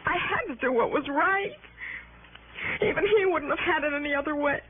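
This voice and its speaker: An actress playing a frightened, earnest young wife in a 1960s radio drama, speaking in an anxious, halting, pleading way. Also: female voice, high-pitched, close to an everyday voice. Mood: earnest, defensive. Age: young adult. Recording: tinny 1960s radio broadcast, faint noise.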